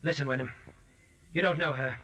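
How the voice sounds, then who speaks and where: A man's voice: a little high, steady tone, fairly quick, bright, forceful tone. An actor playing a proud, desperate male ballet star in an agitated, defiant 1940s radio style.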